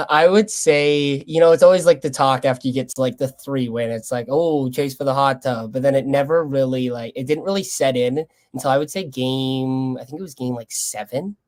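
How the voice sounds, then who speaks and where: A man's voice: medium pitch, moderate pace, a little mellow. A young man with a Canadian accent answering casually as an interviewed athlete, earnest and loosely conversational. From Lethbridge, Canada. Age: young adult. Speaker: college baseball player being interviewed.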